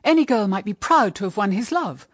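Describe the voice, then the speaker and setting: An actress playing a grave, practical middle-aged British mother in a period radio drama, speaking in measured, earnest, formal phrases. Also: medium pitch, expressive, no echo, clean studio sound, natural voice. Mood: gentle, grave. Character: grave, pragmatic widowed mother guarding her daughters.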